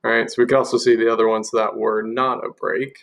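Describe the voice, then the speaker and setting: A man presents an informal software walkthrough in a voice with plenty of fry and gravel. His pitch is middling and stays fairly even. He explains things step by step, as a demonstrator does while sharing a screen. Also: fast talker.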